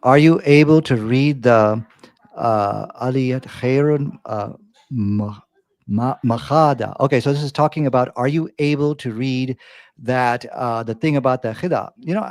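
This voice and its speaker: A man's voice: mid-range voice, measured pace, darkish tone, no room sound. A man answering in a live debate Q&A in a dismissive way, chuckling as he talks.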